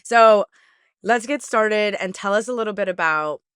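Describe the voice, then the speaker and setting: A woman's voice: mid-range voice, normal speed, a bit bright, clean tone, no echo, clean studio sound. Bubbly woman podcast host. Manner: bubbly, upbeat, lots of "like". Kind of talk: casual interview chat.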